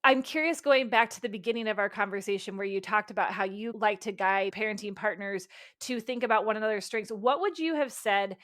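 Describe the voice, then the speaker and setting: A woman who hosts a podcast, interviewing a guest with affirming remarks and turning lively and animated when she tells stories from teaching. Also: medium pitch, a little fast, hardly any pauses. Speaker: podcast host.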